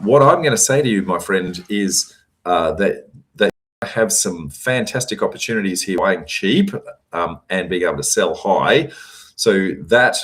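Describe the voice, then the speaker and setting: A hoarse man answers audience questions, his voice worn as it starts to give out. He talks in a deep, low register. He leans hard on his points, doubling words for stress between frequent ums and uhs. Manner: emphatic, repeats words for stress, frequent fillers. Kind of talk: live Q&A session, casual advice.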